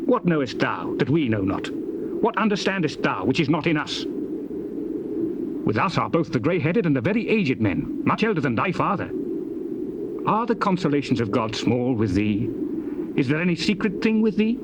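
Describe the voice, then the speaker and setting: An actor playing a stern, accusing biblical elder, declaiming rebukes in a theatrical scripture dramatisation. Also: male voice, fairly high pitch, big pitch swings, normal speed. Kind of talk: dramatised King James scripture, theatrical recitation. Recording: noisy old cassette tape.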